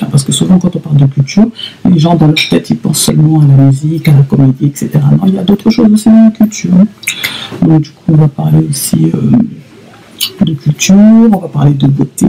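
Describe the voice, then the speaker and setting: Woman in her thirties with a gravelly voice. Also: sits low, warm tone, low-quality recording. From Congo (DRC). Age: 30s. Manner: hesitant, many fillers and repeats. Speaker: vlogger. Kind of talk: casual solo vlog to camera.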